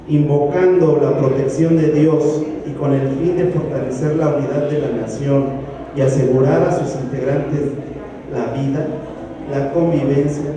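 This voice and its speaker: A man reading a formal text aloud at a brisk, steady pace, with small slips. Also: medium pitch. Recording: echoey hall from far off.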